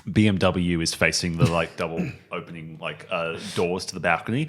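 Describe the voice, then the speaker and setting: A man's voice: deep voice, fairly even intonation. A man narrating a tabletop game as game master, feeling for his words as he improvises. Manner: halting, searching for words.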